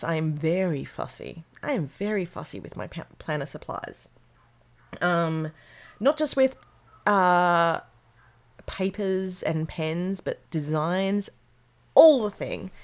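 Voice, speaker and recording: A woman hosting a casual craft livestream from a room at home, chatty and bubbly, greeting viewers by name, her voice a little hoarse from illness. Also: medium pitch. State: slightly hoarse, losing her voice after being sick. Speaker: livestream host of a planner craft show. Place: room at home with a door open to rain.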